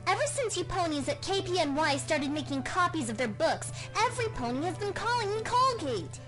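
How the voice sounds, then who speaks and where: A woman's voice: very high-pitched, animated, fairly quick, over music. A woman playing an indignant, huffy female pony calling in to complain in a radio play.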